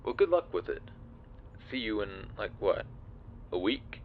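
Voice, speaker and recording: An awkward, sardonic monster in a friend's shape, acted by a young man for an audio roleplay. His voice is low and casual, with hesitant, wry phrasing. Some background noise sits behind it. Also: very animated, measured pace, roomy sound, phone-quality sound. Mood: casual, dismissive. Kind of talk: audio roleplay acting, spoken to the listener.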